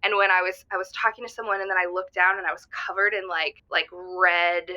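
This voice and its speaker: Bright, rambling woman caller on the phone. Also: on the low side, flat delivery, fairly quick, highs cut off. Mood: excited, wondering. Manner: rambling, giggly, peppered with "like". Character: gushing, earnest, self-doubting woman caller who thinks she's psychic. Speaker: radio show caller. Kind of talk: radio drama, casual phone chat. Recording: thin, band-limited phone caller sound.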